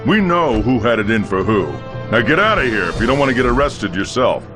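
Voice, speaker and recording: A man's voice: medium pitch, sing-song, a little fast, natural voice. An actor playing a stern, no-nonsense military policeman in a video game cutscene. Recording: music behind the voice.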